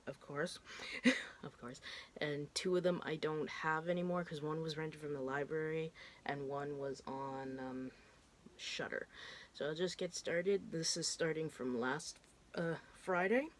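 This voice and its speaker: A woman's voice: low-pitched, slight background noise. A woman in her thirties, stuffed up and congested, chatting casually and rambling a bit as she talks through what she has watched, with the odd casual swear. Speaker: horror film vlogger. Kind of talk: casual chat.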